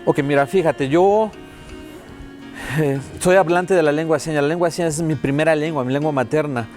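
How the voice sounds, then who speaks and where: A man with a Mexican accent voicing a deaf guest's words as an interpreter in a TV interview, steady and first-person with many "eh" fillers. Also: a little high, a little fast, faint music behind.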